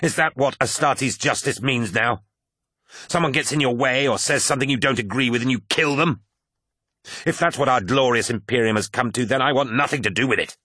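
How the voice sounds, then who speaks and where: A man voicing a defiant male poet, sardonic and deliberate as he answers back. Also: deep voice, gravelly, breathy edge, no echo, studio quality, full character voice. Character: defiant, bitter male poet, nervous but sharp-tongued.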